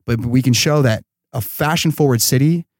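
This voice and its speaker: Man, mid-pitched voice, podcast guest, slangy and enthusiastic, casual phrasing, close dry recording. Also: moderate pace, darkish tone, no echo. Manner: enthusiastic, slangy, peppered with like and you know. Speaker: podcast guest, startup founder. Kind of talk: casual interview talk.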